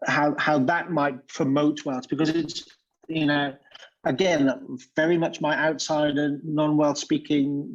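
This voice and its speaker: A man's voice: a little high, a little mellow, highs cut off. A man chairing a panel discussion, asking long questions and often stumbling over repeated words. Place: bookshop event room.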